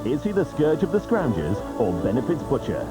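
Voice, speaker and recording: Animated British male trailer announcer over music. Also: medium pitch, brisk. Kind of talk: dramatic promotional voice-over. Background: loud music.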